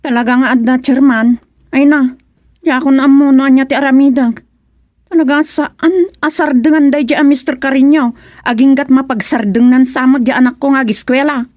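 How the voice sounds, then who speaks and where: Mother role, actress: high voice, Ilocano accent, worried and complaining, radio drama, dry studio sound. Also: steady tone, normal speed, a little mellow, no echo, lightly acted. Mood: complaining, upset.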